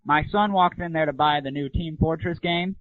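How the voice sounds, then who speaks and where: A man's voice: fairly high pitch, moderate pace. A man talking casually and crudely, swearing as he goes. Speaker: podcast host and prank caller. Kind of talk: casual talk, prank phone call. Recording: lo-fi phone-like, roomy radio sound.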